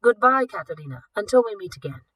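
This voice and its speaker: A woman voicing a suave, wealthy older male art collector in a storybook, speaking in a formal, measured, indulgent way. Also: mid-range voice, big pitch swings, fairly bright tone, breathy edge, no room sound. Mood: indulgent, persuasive.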